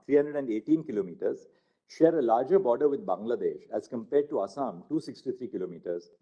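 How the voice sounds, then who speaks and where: Male narrator, very mellow and husky tone, formal measured reading of legal material. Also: medium pitch, poor audio. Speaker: narrator reading a court judgment. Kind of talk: formal read-aloud of a legal text.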